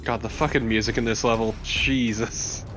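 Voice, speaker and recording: Male co-commentator, mid pitch, casual and profane, faint background noise. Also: steady tone, moderate pace, a bit bright, poor audio. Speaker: let's play co-commentator. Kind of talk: casual gameplay chat.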